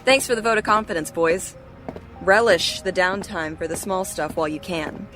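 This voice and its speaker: An actress playing a sardonic police captain in an audio drama, speaking with dry sarcasm. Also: female voice, on the low side, moderate pace, music in the background, natural voice. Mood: amused, dry.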